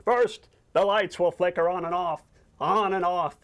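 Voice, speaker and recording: A man voicing a theatrical, irritable cartoon squid, loud and emphatic in delivery. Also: high voice, fairly even intonation, unhurried, pitched above the performer's own voice. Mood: ominous, dramatic. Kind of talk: acted cartoon storyboard pitch.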